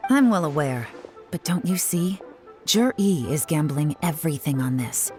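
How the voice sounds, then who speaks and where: A woman's voice: sits low, animated, unhurried, a little mellow. An actress playing a shrewd, composed young female spymaster in a game, with a cool, sly, commanding manner. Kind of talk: dramatic game voice acting. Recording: music behind the voice. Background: game music.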